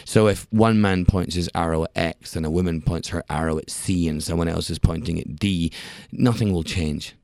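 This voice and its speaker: A man's voice: sits low, moderate pace, hardly any pauses, dry recording. A man in his late thirties from Scotland, an actor telling stories with wry jokes and laughter as an interview guest in a podcast studio. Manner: expressive storyteller, wry jokes, frequent laughs. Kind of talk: casual interview talk.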